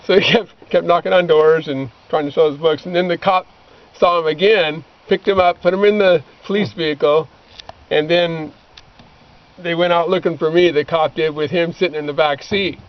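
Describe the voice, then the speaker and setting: A man's voice: a little high, steady tone, normal speed. A man, middle-aged, casually reminiscing among friends in a rambling, anecdotal way. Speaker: former missionary bookseller. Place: outdoors, back of a pickup by a creek. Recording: muffled lo-fi outdoor amateur recording, background noise.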